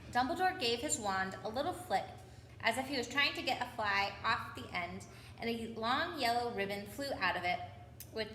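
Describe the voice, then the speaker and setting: A woman reading a children's novel aloud in an expressive storytelling manner. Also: a little high. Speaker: story reader. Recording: roomy echo with faint background noise.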